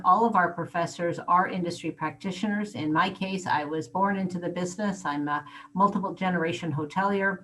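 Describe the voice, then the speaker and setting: A woman with a slightly low-pitched voice, presenting as a program coordinator. She lays things out in an orderly, measured way, correcting herself smoothly when she slips. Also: a bit bright, poor audio. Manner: organized, measured. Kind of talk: presenting at online info session.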